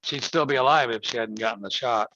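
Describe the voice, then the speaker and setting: A man's voice: mid-range voice, fast talker, creaky, bright, forceful tone. A man in his seventies hosting a casual call-in chat, talking in a folksy, rambling, emphatic way. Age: 70s. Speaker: host. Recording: muffled lo-fi audio with faint noise.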